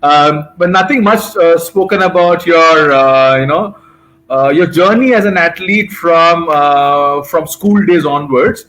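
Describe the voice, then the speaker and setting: A man interviewing a guest over a video call, halting and full of fillers. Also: on the high side, a bit bright, low-quality recording. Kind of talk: casual interview.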